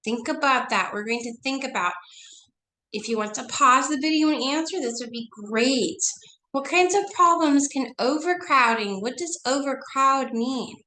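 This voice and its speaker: Lady teacher w a cold, stuffy voice going hoarse, chatty, asks the kids stuff, bit of hiss. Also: sits high, moderate pace, sharp tone, low-quality recording. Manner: chatty, coaxing, asks the class questions. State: nasal from a cold, losing her voice. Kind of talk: online read-along lesson for children.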